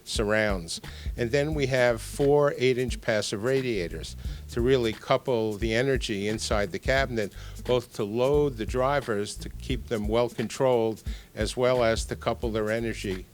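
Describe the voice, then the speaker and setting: A man's voice: medium pitch, moderate pace, slight background noise. Older man explaining technical details. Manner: explanatory, repeats words for emphasis. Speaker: speaker designer being interviewed. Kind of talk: technical product interview.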